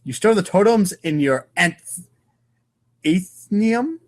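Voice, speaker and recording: A man's voice: sits high, lively intonation, takes it slowly. A man narrating an informal comic read-aloud show in a playful, theatrical manner. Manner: playful, theatrical, voices sound effects.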